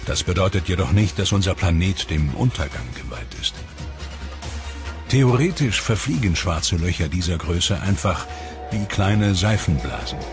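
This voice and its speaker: A man giving calm, measured, scripted narration for a science documentary. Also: low voice. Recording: music bed under voice.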